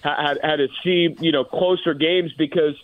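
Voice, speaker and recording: Over a narrow phone line, a man with a slightly high voice gives game analysis as a calling-in broadcaster. He talks conversationally and haltingly, repeating words. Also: steady tone. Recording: thin phone-line call.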